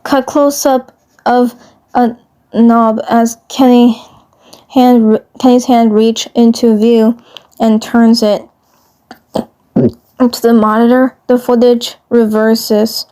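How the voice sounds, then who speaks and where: A woman reads out fan fiction as narrator. Her voice is on the high side and her pace is slow, with halting phrases that she often repeats. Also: little pitch movement, warm tone. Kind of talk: storytelling narration.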